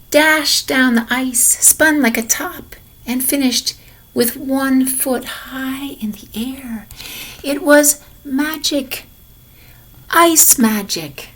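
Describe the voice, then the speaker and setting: A woman reading a children's picture book aloud in an expressive way, as if to young listeners in a lesson. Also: high-pitched, takes it slowly. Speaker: storybook reader for a lesson.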